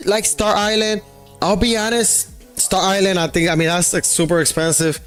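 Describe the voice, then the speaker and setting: A man's voice: sits high, flat delivery, moderate pace, a bit bright, clean tone. A man from Puerto Rico chatting casually with his livestream viewers as a day trader, rambling and thinking aloud with lots of fillers. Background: faint background music.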